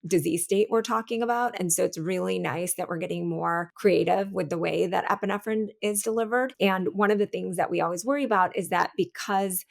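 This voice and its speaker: A woman's voice: lowish voice, a little fast, gravelly, few pauses. A woman hosting a conversational medical discussion, speaking as a physician to a lay audience. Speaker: podcast host, physician.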